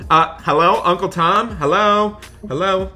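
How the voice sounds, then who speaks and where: A man hosting a gaming roleplay as a dad, narrating excitedly in a dramatic, exasperated way and repeating himself. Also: high voice, measured pace. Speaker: gaming video host playing a dad in roleplay. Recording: background music behind voice. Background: music.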